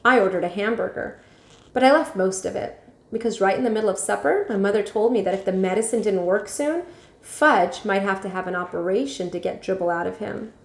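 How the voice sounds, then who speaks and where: A woman's voice: mid-range voice, brisk. Woman reading a storybook, echoey room. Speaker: teacher reading aloud. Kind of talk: storybook read-aloud narration.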